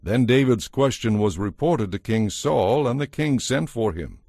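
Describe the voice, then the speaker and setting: Male narrator for dramatized audio scripture: measured storyteller, medium pitch, dry studio sound. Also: animated, mellow, slightly rough, no echo.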